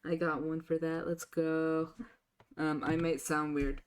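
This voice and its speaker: Low-voiced woman with a sore throat. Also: little pitch movement, unhurried, smooth. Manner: rambling, many filler restarts. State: sore throat, deeper voice than usual. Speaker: streamer. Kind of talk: casual live-stream chat.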